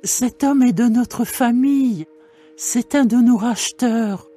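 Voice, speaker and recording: A woman playing an older, caring mother-in-law who gives slow, gentle counsel in a dramatised Bible reading. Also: a little high, slightly rough, faint music behind. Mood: grateful. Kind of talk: dramatised scripture reading in French.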